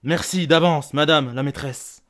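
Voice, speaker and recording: A man's voice: mid-range voice, normal speed, close to an everyday voice. A young male amateur actor playing a combative, protective father in a theatrical letter reading. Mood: agitated, blunt. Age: teenager or young adult student.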